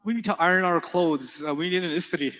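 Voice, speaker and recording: A man with a fairly high voice teaches a live class. His first language is Urdu, and he keeps it playful, drilling students and telling stories. The sound carries a long reverb from a big lecture hall. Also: a little fast, noisy background. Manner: playful, joking, quizzes students in call-and-response. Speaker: Arabic teacher.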